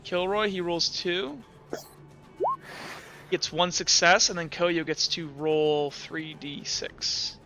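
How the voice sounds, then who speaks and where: A man's voice: fairly high pitch, steady tone, takes it slowly, bright, forceful tone, soft background music. A young man narrating as game master of a tabletop game, rambling with lots of fillers. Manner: rambling, lots of likes and uhs. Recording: dull lo-fi mic.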